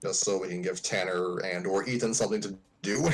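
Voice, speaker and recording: A man's voice: sits low, steady tone, brisk. A man co-hosting a livestream in casual, conversational chat. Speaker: co-host of a story-reading livestream.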